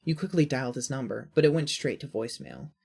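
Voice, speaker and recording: A woman's voice: very deep voice, expressive, fast talker, creaky, darkish tone, breathy edge. A woman reading scripted second-person story narration.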